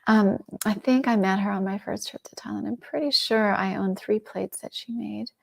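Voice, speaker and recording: A middle-aged woman hosting a shopping livestream, chatting enthusiastically and dropping into soft asides. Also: medium pitch, moderate pace, creaky. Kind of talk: casual product presentation.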